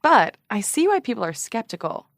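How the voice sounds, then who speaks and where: A woman playing an earnest, prim investigative podcast host, narrating and interviewing in a measured, composed way. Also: fairly high pitch, sing-song, no room sound, clean studio sound. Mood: measured, serious. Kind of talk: scripted true-crime parody narration.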